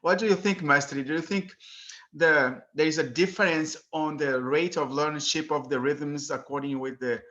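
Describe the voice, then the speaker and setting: A man's voice: on the high side, steady tone, moderate pace, sharp tone, lo-fi sound. A man moderating a panel, asking questions hesitantly with many fillers. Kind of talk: hosting a panel discussion.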